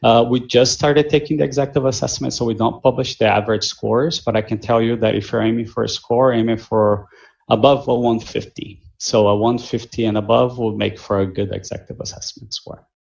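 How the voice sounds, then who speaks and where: A man from Brazil with a Brazilian Portuguese accent, an admissions director answering questions at a measured pace with many fillers over a video call. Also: mid-range voice, fairly even intonation, vocal fry, darkish tone. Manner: measured, with frequent fillers and restarts. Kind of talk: answering questions in a virtual info session. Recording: muffled lo-fi video call audio.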